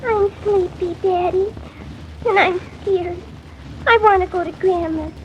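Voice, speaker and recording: Little girl in old radio drama, super high childish voice, kinda muffled. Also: fairly even intonation, measured pace, noisy background. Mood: sleepy, artless. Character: frightened, loyal little girl, daughter of the house. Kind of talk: 1950s radio drama acting.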